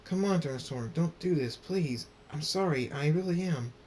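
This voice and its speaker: Man putting on a high, panicky villain. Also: normal speed, natural voice. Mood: pleading. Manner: excitable, pleading. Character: panicky, apologetic male villain turned human. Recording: noisy with low rumble.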